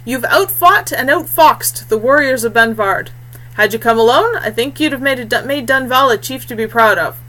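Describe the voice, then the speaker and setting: A woman's voice: on the high side, moderate pace, a bit bright, voice pushed up, low-quality recording. A woman voicing a scornful, commanding undead warrior chief in a fantasy story, bellowing formally and with contempt.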